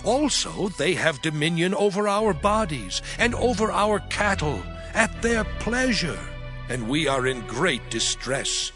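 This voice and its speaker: A man playing a reverent, pleading supplicant in a dramatized scripture reading, speaking in a formal, measured way. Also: high voice, very animated. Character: reverent, pleading man praying for his people. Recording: studio reading under prominent music.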